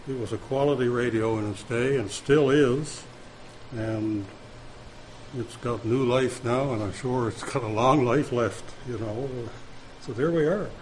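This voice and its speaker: A man who restores radios, talking casually about his work and pausing often with fillers. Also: mid-range voice, slight background noise, rough recording. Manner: relaxed, hesitant with frequent fillers. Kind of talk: casual spoken account.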